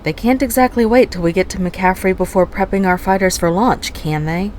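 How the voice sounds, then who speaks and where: A woman's voice: medium pitch, brisk, a little mellow, slight background noise, natural voice. A woman playing a sardonic fighter pilot. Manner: sarcastic, argumentative. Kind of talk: fan audio drama acting.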